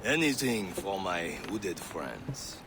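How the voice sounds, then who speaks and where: An actor playing a genial, well-informed gentleman ally in a video game, speaking formally and obligingly. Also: low-pitched, sing-song, takes it slowly, background noise, full character voice. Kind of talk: video game cutscene acting. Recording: clear outdoor ambience behind.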